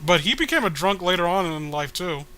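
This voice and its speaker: A man's voice: high-pitched, lively intonation, brisk, pushed and bright, slight background noise. A man hosting a podcast, reading a comic aloud with casual, sarcastic commentary. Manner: sarcastic, joking.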